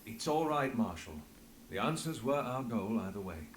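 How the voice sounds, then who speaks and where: An actor playing a measured, earnest male investigator in a video game, formal and tactful. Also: mid-range voice, lively intonation, slight background noise, natural voice. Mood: composed, firm. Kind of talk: dramatic video game voice acting.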